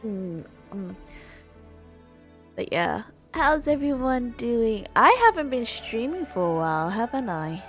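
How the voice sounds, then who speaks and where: A woman livestreamer chatting casually in a hesitant, rambling way, often repeating and rephrasing herself. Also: fairly high pitch. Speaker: livestreamer and gamer.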